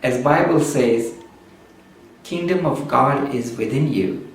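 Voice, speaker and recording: A man whose native language is Urdu gives a slow, measured spoken reflection and often pauses with "uh". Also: mid-range voice. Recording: very echoey room, distant mic, faint background noise.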